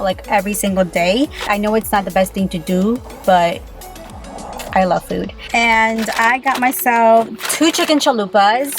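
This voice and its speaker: Young woman, casual vlogger chatting between bites, mid-pitched voice, soft music underneath. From Peru. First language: Spanish. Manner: chatty, keeps saying "you guys", talks while eating. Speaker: beauty YouTuber. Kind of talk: casual mukbang vlog chat.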